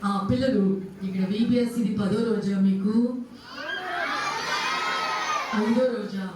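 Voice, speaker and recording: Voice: mid-range voice, fairly even intonation, normal speed, close to an everyday voice. Friendly uncle puppet played by a performer, distant and echoey. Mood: playful questioning. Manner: greeting everyone, firing simple questions. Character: friendly uncle puppet greeting and quizzing children. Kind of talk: live puppet show dialogue. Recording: echoey hall from far off, noisy crowd.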